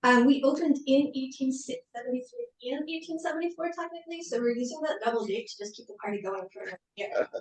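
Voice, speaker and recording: A soft-spoken woman presenting as a library director, with frequent ums, over a video call. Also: high-pitched, sing-song, quick pace. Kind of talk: community presentation. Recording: echoey room, far from mic.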